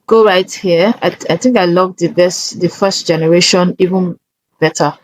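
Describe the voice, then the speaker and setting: A woman's voice: fairly low pitch, moderate pace, poor audio. A woman presenting a casual step-by-step tutorial, instructive and often checking that the listener is following.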